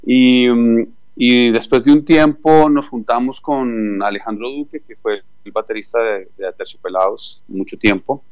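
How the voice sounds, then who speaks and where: A man from Chile who speaks with a Colombian accent, talking in a mid-range voice. His delivery is relaxed and halting, full of "eh" pauses. The sound is dry and close. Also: medium pitch, dry recording, highs cut off. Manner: relaxed and thoughtful, many "eh" pauses. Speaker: musician guest. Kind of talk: phone interview.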